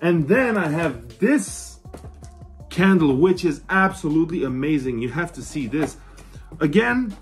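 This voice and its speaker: Higher-pitched excitable man in his thirties, slightly husky. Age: 30s. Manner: excited, swearing, informal. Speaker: vlogger, horror collector. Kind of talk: casual show-and-tell review to camera. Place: small cluttered room indoors.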